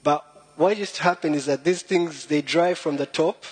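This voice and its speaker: Husky young man telling stories. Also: on the high side, moderate pace. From Rwanda. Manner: chatty, joking, self-deprecating, fond of puns. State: a bit nervous. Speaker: guest speaker. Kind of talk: informal storytelling talk.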